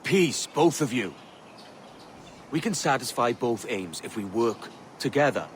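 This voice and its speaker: An actor voicing a brash, easygoing young pirate captain in a video game cutscene. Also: male voice, mid-range voice, animated, unhurried, slight background noise, close to an everyday voice. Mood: persuasive.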